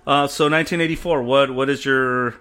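A man's voice: medium pitch, fairly even intonation, a little fast, fairly bright tone, clear, resonant tone. A man co-hosting a casual film discussion, blunt and opinionated, often laughing. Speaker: podcast co-host.

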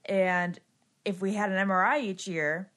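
A young woman with a nasal voice, a podcast co-host in casual chat, curious and quick with follow-up questions. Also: mid-range voice, steady tone, a bit bright.

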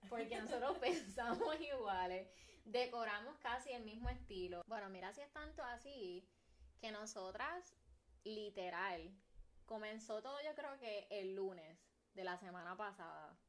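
A woman's voice: medium pitch, expressive, a little slow. A woman in her twenties with a Puerto Rican Spanish accent, co-hosting a casual podcast chat, laughing often and mixing in English words. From Puerto Rico. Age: 20s. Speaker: podcast co-host.

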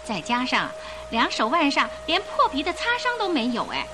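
High-voiced gossipy lady passenger. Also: wildly swooping pitch, normal speed, noisy background. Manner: chatty, emphatic interjections. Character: gossipy, suspicious female ship passenger. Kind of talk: Chinese radio drama acting.

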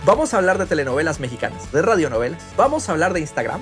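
Un hombre que conduce un podcast de charla informal, contando las cosas con animación y entusiasmo. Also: male voice, a little high, very animated, rapid-fire, faint music behind. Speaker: podcast host. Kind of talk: casual podcast interview.

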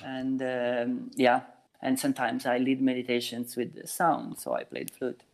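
Thoughtful man in his forties, plain pitch. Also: moderate pace, vocal fry. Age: 40s. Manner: thoughtful, explains with everyday examples, frequent restarts. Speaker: meditation teacher and flute maker. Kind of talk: casual interview answers.

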